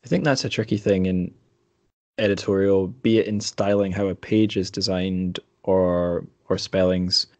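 A man's voice: sits low, steady tone, moderate pace, no room sound. A man hosting a podcast interview in a casual, conversational way. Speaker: podcast host.

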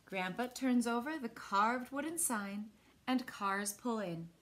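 A woman in her thirties with an American accent, reading a picture book aloud to children with expressive, clearly phrased delivery. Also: on the high side, takes it slowly. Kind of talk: children's read-aloud storytelling. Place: small indoor room.

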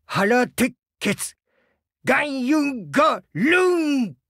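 A man playing a gentle, bashful crocodile diving instructor in a Japanese game, earnest and hesitant, easily flustered. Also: very high voice, big pitch swings, very slow, no room sound, studio quality, heavily acted. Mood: battle focus. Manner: soft-spoken, hesitant, earnest, stammers when flustered. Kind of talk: Japanese game character voice lines.

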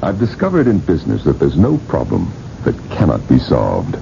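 An actor playing a cynical, arrogant, miserly older businessman in a radio drama, gruff and sardonic with mocking, drawn-out emphasis. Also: a bass voice, huge pitch swings, mellow, heavily acted. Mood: dismissive. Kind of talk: 1980s radio drama acting. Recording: muffled, noisy old radio broadcast.

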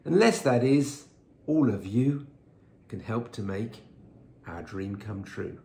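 A man's voice: on the low side, expressive, slow, vocal fry, darkish tone. A man in his sixties reading a rhyming story aloud, recorded at home. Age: 60s. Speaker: poem reader. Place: living room at home. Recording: roomy echo.

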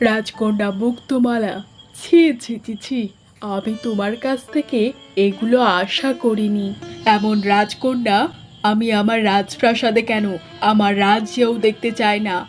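Cartoon king and father played by a woman with a highish voice, grave, reproving, music behind. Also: a little mellow, close to an everyday voice. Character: grave fairy-tale king, a father easily swayed. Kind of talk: fairy-tale cartoon acting.

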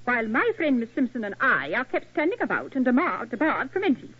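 A woman's voice: on the high side, sing-song, a little fast, breathy edge. An actress playing a haughty, demanding English lady tourist with an English accent in a 1940s radio drama. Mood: indignant. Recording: scratchy, tinny 1940s radio recording.

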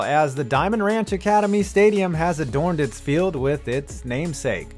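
A man's voice: sits high, a little mellow. An American man narrating a scripted countdown video as host, with wry, sarcastic asides. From United States. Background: music.

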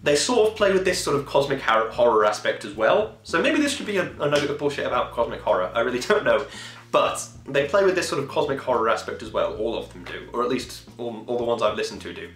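A man's voice: mid-range voice, expressive, fairly quick, fairly bright tone, roomy sound. A man in his twenties chatting casually to camera in a rambling, enthusiastic way, swearing now and then. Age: 20s. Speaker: podcast host. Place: small home room, indoors.

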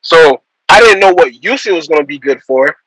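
A young man rapper on a video call, talking loose and slangy and trailing off mid-sentence. His voice sits a bit high for a man and sounds bright and forceful. The sound is close and dry. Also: expressive, normal speed, slightly rough, no echo, rough recording. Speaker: rapper guest. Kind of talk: casual interview talk.

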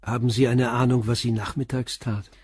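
An actor playing a calm police inspector who puts terse, probing questions in a radio crime drama. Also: male voice, medium pitch, moderate pace, husky, no room sound, close to an everyday voice.

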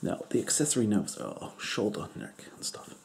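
A man voicing an eccentric, crude foreign male doctor in a deadpan whisper. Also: lowish voice, gravelly, slight background noise. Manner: whispery, slow, deadpan joking. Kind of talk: comedic ASMR roleplay.

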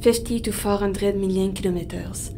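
A reserved female astronaut far from home , played by an actress. She speaks in short, subdued phrases at a medium pitch. There is a faint rumble behind the sci-fi audio drama scene. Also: fairly even intonation, moderate pace, close to an everyday voice. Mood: factual. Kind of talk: naturalistic audio drama acting. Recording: faint rumbling background noise.

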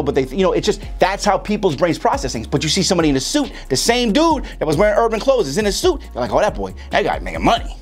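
A young American man giving casual, slangy instruction to camera, speaking emphatically and often checking in with the listener. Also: fairly high pitch. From Chicago, United States. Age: young adult. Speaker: host of a music marketing video for rappers. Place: small home studio room, indoors.

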